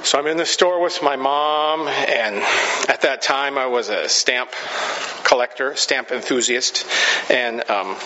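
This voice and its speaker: A man's voice: mid-range voice, measured pace, sharp tone, slight background noise. A male pastor from the Pacific Northwest telling a story sermon, chatty and self-deprecating, laughing at his own stories. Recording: dull sound with long hall reverb.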